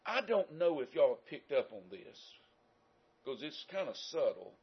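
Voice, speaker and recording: A man's voice: a little high, very animated, measured pace, breathy edge. A man with a Southern American accent preaching a sermon in a folksy, conversational way with emphatic rises. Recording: dull lo-fi sound.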